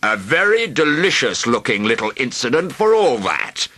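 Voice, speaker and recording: A man's voice: mid-range voice, very animated, normal speed, bright, forceful tone, pitched above the performer's own voice. A man voicing a vain, rude and cowardly huge male dragon in a storybook, blustering and melodramatic. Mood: smug. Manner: blustering and melodramatic, wailing when wounded. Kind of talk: storybook reading.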